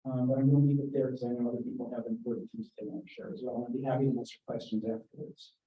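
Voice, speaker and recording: A man telling a panel about his own past, animated and restarting his sentences, speaking into a handheld mic. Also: medium pitch, very fast. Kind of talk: personal recollection at a panel. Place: event room. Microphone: handheld microphone held away. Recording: roomy, distant, muffled event recording.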